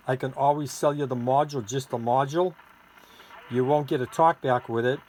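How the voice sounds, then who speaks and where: A man's voice: medium pitch, a little mellow. A man giving an informal, rambling, hands-on product demonstration as the seller of the gadget. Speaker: seller demonstrating his homemade radio gadget. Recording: noisy recording with clicks.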